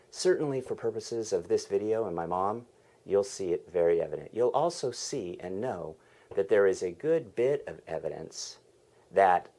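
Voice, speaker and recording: Low warm-voiced guy hosting a podcast, earnest scripted intro. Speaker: podcast host.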